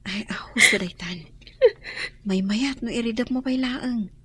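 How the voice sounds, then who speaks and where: A woman playing a caring, consoling figure in a radio drama, breathy and earnest in her delivery. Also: fairly high pitch, a little slow, bright, forceful tone, exaggerated voice.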